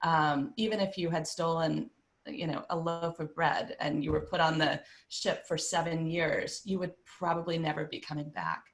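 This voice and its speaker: A woman's voice: sits low, steady tone, moderate pace. A woman from England, an author, talking fluently and expansively in a panel conversation. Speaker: author guest.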